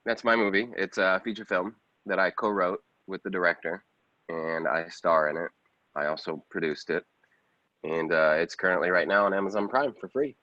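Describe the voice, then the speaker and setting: A man with a low voice speaks casually as an interview guest. The sound is roomy and slightly muffled. His phrasing is loose and conversational. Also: steady tone, normal speed, sharp tone. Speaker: guest, actor and film producer.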